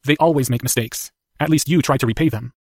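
A man voicing an earnest, halting young boy wizard. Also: mid-range voice, darkish tone, no room sound, clean studio sound, pitched above the performer's own voice, close to an everyday voice.

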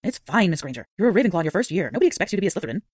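A man voicing a strict but kindly older woman professor in an audiobook, with formal, gentle authority. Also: sits high, big pitch swings, rapid-fire, no echo, studio quality, voice pushed up. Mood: gently reproving. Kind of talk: audiobook character voice.

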